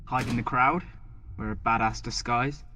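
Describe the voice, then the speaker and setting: A man's voice: mid-range voice, fairly even intonation, normal speed, pushed and bright, lightly acted. A man playing a blunt, suspicious, sarcastic young man in an audio drama. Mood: sarcastic, wary. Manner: dry sarcasm, British slang. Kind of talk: dystopian audio drama acting.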